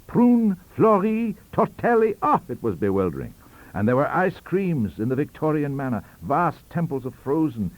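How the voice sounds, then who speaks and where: A man's voice: a little high, a little mellow, low-quality recording. A man reading a ghost story aloud as an audiobook, with theatrical, wry delivery. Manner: theatrical, wry, richly varied. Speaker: audiobook reader. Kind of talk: expressive storybook narration.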